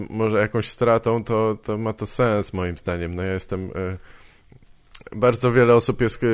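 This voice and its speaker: A man hosting a casual interview, speaking in a halting, informal and joking way. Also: fairly low pitch, clean tone. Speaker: podcast host, interviewer. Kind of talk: casual chat.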